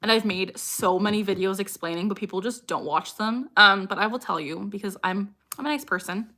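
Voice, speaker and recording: A woman's voice: mid-range voice, brisk, bright, forceful tone. A young woman chatting casually on a livestream and answering viewers' questions. She is upbeat and laughs often, and her sentences are broken by sudden vocal tics.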